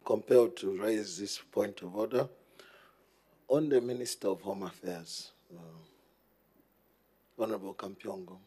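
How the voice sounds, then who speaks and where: Slow, husky Zambian man. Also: fairly low pitch, mellow. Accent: Zambian English. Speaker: member of parliament. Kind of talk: formal point of order.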